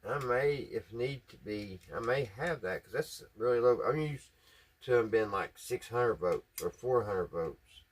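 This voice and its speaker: A man's voice: mid-range voice, a little slow. A man giving casual, hands-on repair commentary, folksy and musing aloud to himself as he works. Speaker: hobbyist repair vlogger.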